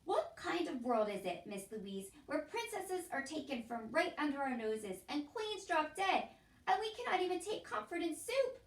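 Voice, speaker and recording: A woman voicing a fierce, dramatic female castle cook in a storybook reading, loud and wailing. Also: medium pitch, unhurried, close to an everyday voice. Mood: distraught. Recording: echoey room, distant from the mic.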